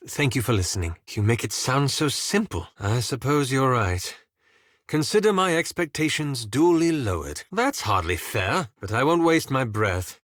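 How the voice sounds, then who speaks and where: An actor voicing a prim, bookish elf wizard in a fantasy video game, speaking formally and precisely with dry sarcasm. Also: male voice, medium pitch, fairly bright tone, no echo.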